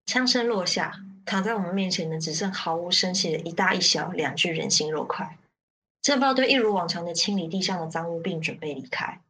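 A woman's voice: lowish voice, lively intonation, fairly quick, bright, forceful tone, a little hiss or hum, low-quality recording. A woman playing a jaded riot-squad member who narrates a grim radio drama in the first person with detachment. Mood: somber.

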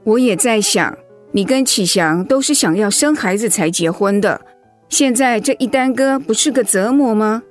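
An actress in a Mandarin radio drama playing a caring older mother-in-law, soothing, motherly and gently wry. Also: on the high side, normal speed, faint music behind, close to an everyday voice. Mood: concerned.

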